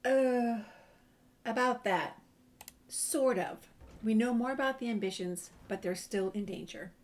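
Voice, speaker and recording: A woman's voice: fairly high pitch, unhurried. A woman playing through a video game, casually reading dialogue aloud and reacting. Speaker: gamer playing a walkthrough. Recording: noisy, ambient background sound.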